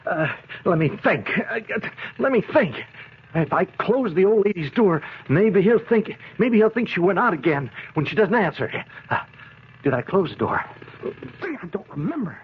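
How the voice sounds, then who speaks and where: Menacing American crook, higher-pitched, stammering, slangy, 1970s radio drama, dull hissy recording. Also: male voice, big pitch swings, normal speed. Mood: rattled. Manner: threatening, slangy, stammering when rattled. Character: jittery, menacing male gunman, a street-tough career criminal.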